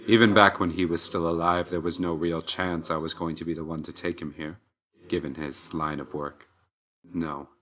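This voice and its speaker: A man voicing a stern, reserved and dutiful god of death in a video game, speaking in a formal, measured way. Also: deep voice, little pitch movement, fairly bright tone. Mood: wry, stern. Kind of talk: video game character voice lines.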